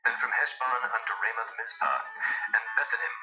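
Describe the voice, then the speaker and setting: A man narrating a dramatized scripture reading in a solemn, measured, formal manner. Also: medium pitch, steady tone, far from the mic. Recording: muffled sound with music behind. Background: music.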